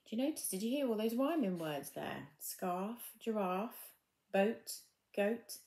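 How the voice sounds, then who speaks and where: A woman reads a picture book aloud to children in a lively, swooping voice. She speaks like a teacher engaging young listeners, and the room adds an audible echo. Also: mid-range voice, unhurried, creaky. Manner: animated, addressing young listeners with questions. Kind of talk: expressive children's storybook reading.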